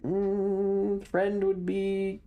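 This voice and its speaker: A woman's voice: medium pitch, monotone, very slow, mellow. A woman streamer giving casual live game commentary, with teasing, joking asides.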